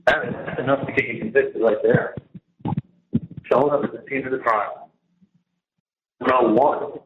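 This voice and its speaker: Over a dull, echoey jail phone line with some background noise, a man with a mid-pitched voice talks in a casual, rambling way. He is emphatic, circling back over his phrases and breaking off mid-sentence as he vents. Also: fairly even intonation, moderate pace. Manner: emphatic, repetitive, venting, trailing off mid-sentence. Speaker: prisoner on a jail phone call. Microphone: telephone handset.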